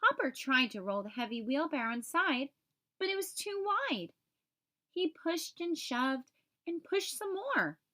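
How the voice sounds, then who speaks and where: High-pitched, animated woman storyteller. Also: a little slow. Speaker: read-aloud storyteller for young children. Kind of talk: expressive scripted children's story narration.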